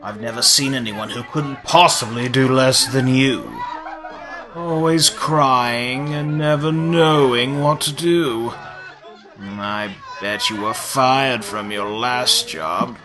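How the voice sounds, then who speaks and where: Woman playing a slow, sneering bully. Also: rumbling low. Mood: mocking. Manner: taunting, sneering. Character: scornful, bullying crew member mocking others. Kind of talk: radio play acting. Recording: music behind the voice.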